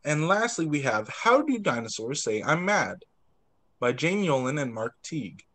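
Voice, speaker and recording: A young man reads a picture book aloud as a teacher would for small children. His voice sits a little high and swings widely in pitch. He narrates expressively and drops in short asides. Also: sing-song, normal speed, a bit bright. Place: indoors, on a video call.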